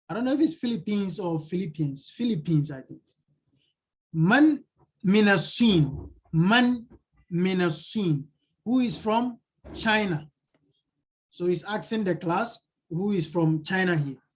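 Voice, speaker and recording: Husky-voiced man teaching a language lesson. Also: high-pitched. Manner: repeats phrases and translates line by line. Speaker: Arabic teacher. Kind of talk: explaining a textbook lesson.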